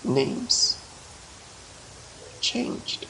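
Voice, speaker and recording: A woman narrating a folktale dramatically, with measured, emphatic phrasing and deliberate pauses. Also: pushed and bright, a little hiss or hum, poor audio. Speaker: storyteller. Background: ambient marimba, xylophone and low nature sounds.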